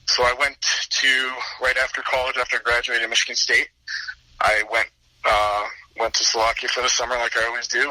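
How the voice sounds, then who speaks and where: A man's voice: medium pitch, pushed and bright, no room sound, low-quality recording. A man in his twenties from the Washington DC area, a pro hockey player telling his story easygoingly in a podcast interview, repeating words as he thinks. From Washington DC area, United States. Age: 20s.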